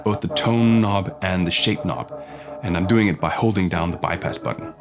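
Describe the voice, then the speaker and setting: A man's voice: low-pitched, normal speed. A man narrating a casual effects pedal demo as its host. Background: electronic music and instrument sounds.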